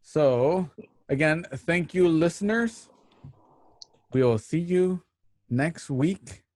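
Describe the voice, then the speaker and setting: A man's voice: a little high, slow, darkish tone, no room sound. A man hosting an online radio drama show, casually giving thanks and announcements to listeners.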